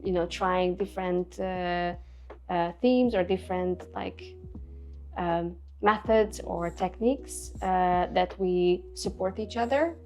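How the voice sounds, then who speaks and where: Soft-spoken woman, reflective. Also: fairly low pitch, slow, soft background music. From Hungary. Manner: soft-spoken, reflective, with many fillers and restarts. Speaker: artist guest. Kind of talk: casual interview. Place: Budapest.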